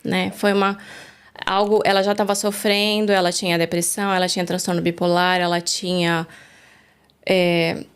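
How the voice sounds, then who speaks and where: A woman's voice: lowish voice, flat delivery, moderate pace, clear, resonant tone. A woman from Brazil, the guest on a faith interview, telling her story in an earnest, reflective way, recorded in a studio.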